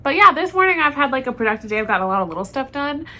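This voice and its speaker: A woman's voice: high-pitched, very animated, racing, pushed and bright. A woman with a cold and a stuffy voice, co-hosting a podcast in casual chat, laughing often and saying "like" a lot. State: congested from a cold. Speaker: podcast co-host. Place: dim office lobby, on a couch. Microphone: camera and lights set up.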